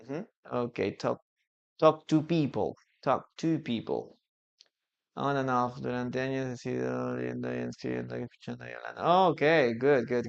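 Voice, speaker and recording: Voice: medium pitch, gravelly, fairly bright tone, clean tone. A native Spanish-speaking English teacher from Peru with a Peruvian accent, leading a live online class and patiently repeating key phrases.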